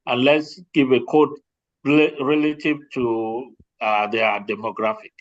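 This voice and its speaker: A man with a medium-pitched voice speaks as an insurance representative in an informal question-and-answer session. His delivery is halting, with repeated phrases. The audio is dull and lo-fi, like an online group call. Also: fairly even intonation. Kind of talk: informal explanatory Q&A presentation.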